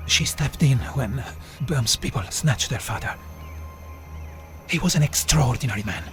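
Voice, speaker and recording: Grave resistance fighter. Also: male voice, medium pitch, expressive, unhurried, a bit bright, soft background music. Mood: solemn, loyal. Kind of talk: cinematic military game acting.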